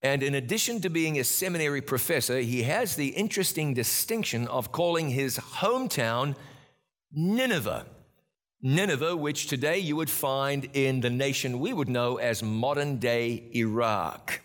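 A man's voice: medium pitch, expressive, normal speed, a bit bright. A man hosting a Bible program, teaching in an earnest sermon style with emphatic repetition.

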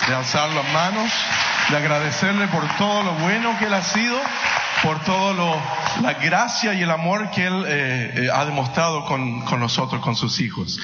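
A man's voice: fairly high pitch, noisy background. A man speaking informally to a church congregation as a gospel singer, heartfelt and lightly joking. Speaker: singer in a gospel vocal group. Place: church sanctuary, amplified to a congregation. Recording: echoey hall, muffled sound, faint music.

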